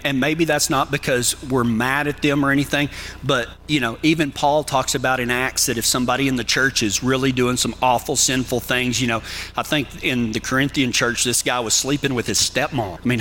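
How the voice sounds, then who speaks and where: A man's voice: mid-range voice, a bit bright, slight background noise. A man with a Texan accent preaching a folksy sermon as a cowboy preacher, talking to listeners plainly and with humor. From Texas. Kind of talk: sermon with numbered tips.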